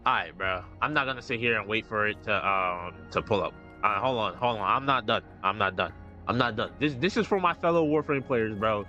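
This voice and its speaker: A young man streaming a game, commenting live in an excitable, profane rant full of repeats and restarts. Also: on the low side, fairly quick, highs cut off, soft background music. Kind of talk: ranting live gameplay commentary.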